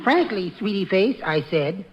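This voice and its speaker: A timid, fussy little husband in an old radio comedy. He speaks mildly and apologetically, with lilting, very varied pitch. The recording is dull, with clear background noise. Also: animated, measured pace. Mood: sly, timid. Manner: mild, prim, hesitant, apologetic delivery. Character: meek, timid henpecked little husband, fussy bird lover. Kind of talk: 1940s radio comedy acting.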